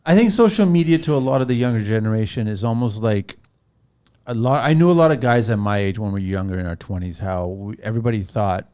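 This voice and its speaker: A man's voice: fairly low pitch, fast, a little mellow, clean tone. Rambling, opinionated middle-aged man. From France, of Portuguese family. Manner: rambling, opinionated, with tag questions. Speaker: podcast host. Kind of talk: casual podcast conversation.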